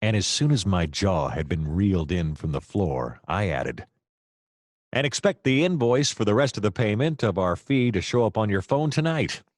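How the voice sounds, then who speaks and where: An actor playing a wry, gallant private detective who narrates a noir radio play in dramatic, formal phrasing. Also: male voice, on the low side, sing-song, normal speed, dry recording, clean studio sound. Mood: wry narration.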